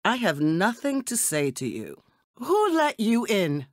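An actress playing a poised, elegant secret agent in a video game, speaking with formal, composed politeness. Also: female voice, medium pitch, huge pitch swings, unhurried, no room sound, clean studio sound. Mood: cold, distrustful. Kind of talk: scripted video game character dialogue.

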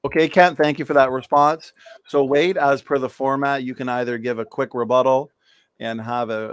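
A man's voice: medium pitch, dry recording, studio quality. A man in his thirties moderating a live debate in a polite, orderly way. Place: indoor home office, on a video call.

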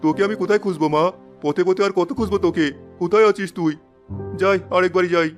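A man's voice: sits high, fairly even intonation, fast talker, lightly acted. A man voicing a king in a cartoon, a father searching for his lost daughter, who speaks in a commanding, earnest way. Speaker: voice actor. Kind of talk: cartoon voice acting. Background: background music.

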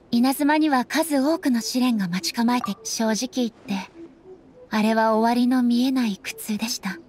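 An actress playing a solemn, composed female ruler in an anime game, speaking formally and earnestly with measured politeness. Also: a little high, lightly acted. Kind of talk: scripted anime game dialogue.

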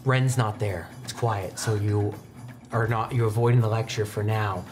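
A man's voice: fairly low pitch, fairly even intonation, normal speed. Man narrating a tabletop game over music. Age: young adult. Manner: descriptive, scene-setting storytelling. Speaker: game master. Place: indoor studio, seated at a desk. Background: background music.

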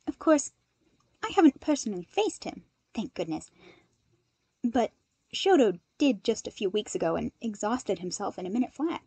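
A woman voicing an earnest, cheerful, analytical young man with an eager, animated manner in a story reading. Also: mid-range voice, normal speed, breathy edge, no echo. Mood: wry, animated.

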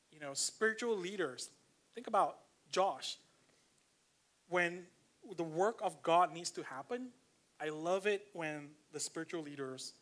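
A man's voice: a little high, a bit bright. A man with a Malaysian accent preaching a casual, conversational sermon in a church, reading scripture aloud with frequent fillers. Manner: conversational, filler-heavy, slows down to stress points. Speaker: pastor.

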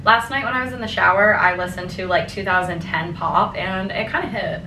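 A woman's voice: mid-range voice, a little fast. A young American woman talks casually to her vlog camera at home. The room is echoey, with some background noise. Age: 20s. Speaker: vlogger. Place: indoors at home, rooms and kitchen.

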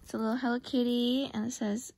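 A woman's voice: a little high, flat delivery, quick pace, a little mellow, no room sound, poor audio. Chatty young woman vlogging on a phone. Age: young adult. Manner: chatty, upbeat, lots of filler words. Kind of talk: casual vlog chat. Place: outdoor flea market, later indoors at home. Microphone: phone held at arm's length.

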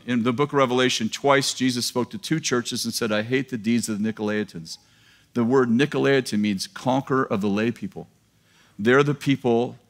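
A man in his fifties preaching a sermon in a large church auditorium to a live congregation, talking in a casual joking way and laughing at his own lines. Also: mid-range voice. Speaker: pastor. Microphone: headset mic.

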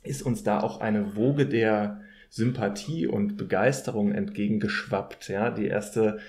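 A man speaking as an academic podcast guest, explaining conversationally in measured, hesitant phrases. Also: on the low side, fairly even intonation, a little mellow. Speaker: podcast guest, political scientist.

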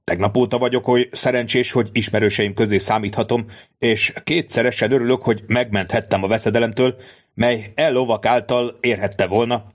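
A man voicing a composed, formal nobleman count in an audiobook, calm and dignified. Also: mid-range voice, lightly acted. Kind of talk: audiobook reading of a classic novel.

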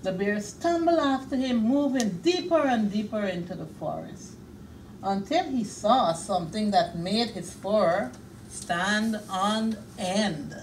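A middle-aged woman reading a picture book aloud to young children in a deliberate, expressive way, pausing to comment on the pictures. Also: medium pitch, far from the mic, a little hiss or hum, low-quality recording. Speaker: children's storyteller. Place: small indoor children's reading room.

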